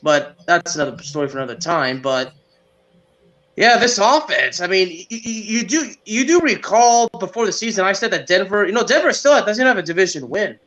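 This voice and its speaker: Male sports co-host, high-pitched voice, casual, halting, repeats his words. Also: lively intonation, fairly bright tone, muffled recording.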